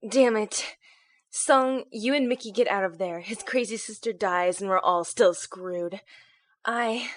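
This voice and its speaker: A woman's voice: medium pitch, measured pace, natural voice. A woman playing a tough team leader under fire in an audio drama, blunt, urgent and authoritative. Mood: frustrated, commanding. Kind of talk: audio drama military acting.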